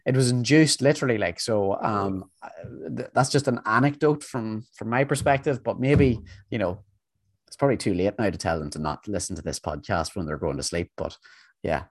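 A man's voice: lowish voice, moderate pace, no room sound. A man co-hosting a podcast in casual chat, rambling with many fillers and restarts. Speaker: podcast co-host.

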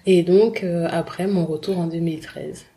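Soft-spoken woman with hesitant delivery. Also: lowish voice, fairly even intonation, normal speed. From Congo. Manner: hesitant, many fillers and restarts. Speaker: interview guest. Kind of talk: casual conversational interview.

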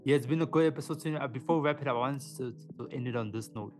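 Guy co-hosting a chatty podcast, lively and slangy, steady mid voice, music playing loud underneath. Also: medium pitch, rapid-fire. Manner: animated, slangy, often says "man" and "dog". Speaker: podcast co-host. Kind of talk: casual chat.

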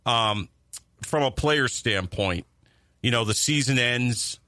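Bright-voiced veteran sports anchor. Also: male voice, medium pitch, slow, no room sound, studio quality. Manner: smooth broadcaster delivery. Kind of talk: radio-style podcast hosting.

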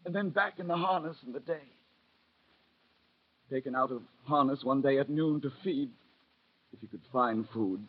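An actor playing a bitter, anguished, dying peasant boy of seventeen in a 1930s radio drama, halting and impassioned. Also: medium pitch, slightly rough, close to an everyday voice. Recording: dull lo-fi vintage radio recording.

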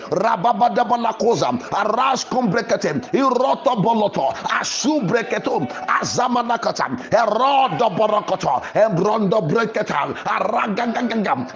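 High-pitched, animated male preacher. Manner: insistent, repetitive, declamatory. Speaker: preacher leading prayer. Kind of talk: fervent deliverance sermon and prayer.

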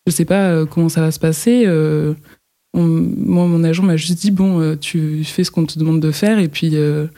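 Female model, interview guest, mellow low-toned voice, hesitant delivery with lots of fillers. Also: steady tone, moderate pace. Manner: hesitant, full of fillers and restarts. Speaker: fashion model. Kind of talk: casual interview chat.

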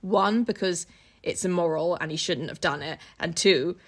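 A woman's voice: fairly low pitch, normal speed. A woman co-hosting a casual book podcast, reading aloud and commenting with irreverent, sweary, mocking asides.

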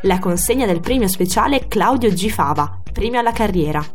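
A woman's voice: medium pitch, animated, fairly quick, soft background music. A woman presenting a scripted festival report as host and narrator.

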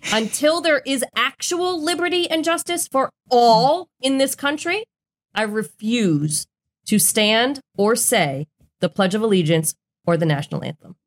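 A woman's voice: fairly high pitch, animated, a little slow. A woman co-hosting a podcast, talking in an earnest, emphatic, blunt way in casual conversation. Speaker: podcast co-host.

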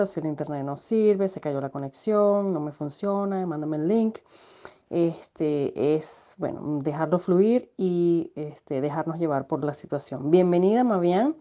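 A woman from Venezuela with a Venezuelan accent, hosting a podcast interview and filling pauses with many "eh" and "este" sounds. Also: lowish voice, mellow. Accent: Venezuelan Spanish. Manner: hesitant, many fillers. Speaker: podcast host. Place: home setup, recording at night.

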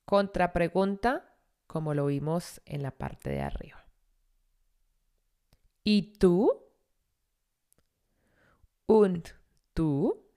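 A young woman teaches German in a podcast lesson, repeating each phrase slowly for learners. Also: on the low side, sing-song, mellow. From Colombia.

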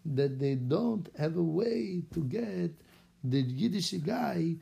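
A man's voice: a little high, animated, slow, gravelly, dark tone, slightly rough, heavily acted. A man putting on an eager Israeli rabbi. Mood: earnest. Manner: eager, chatty storytelling in broken English. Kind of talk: acted-out story for children.

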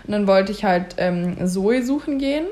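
Young woman chatting, giggly storyteller, normal pitch. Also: normal speed. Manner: lively storytelling, laughs often. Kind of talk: casual chat.

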